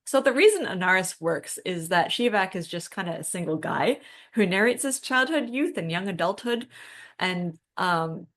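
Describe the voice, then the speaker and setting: A woman's voice: mid-range voice, lively intonation, moderate pace, a bit bright. A woman co-hosting a podcast, discussing books in a casual, conversational way. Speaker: podcast co-host.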